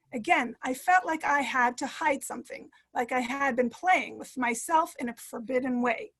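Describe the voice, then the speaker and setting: A woman's voice: high voice, fairly bright tone. A woman author talking to a book club over a video call, hesitant with many fillers, and reading a passage aloud. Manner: halting, many fillers and restarts. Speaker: author guest.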